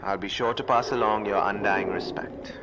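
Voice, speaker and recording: An actor playing an earnest, businesslike outpost official in a sci-fi audio drama, speaking in a measured, explanatory way. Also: male voice, fairly low pitch, steady tone, heavy background noise, lightly acted. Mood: earnest, uneasy. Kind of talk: audio fiction acting.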